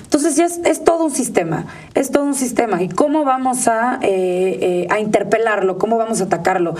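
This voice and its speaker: A woman's voice: on the high side, fairly quick, darkish tone, distant mic. Woman, Mexican accent, interview guest, outspoken, emphatic, conversational expert delivery. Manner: emphatic, outspoken, mimics others in quotes. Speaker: interview guest, digital rights advocate.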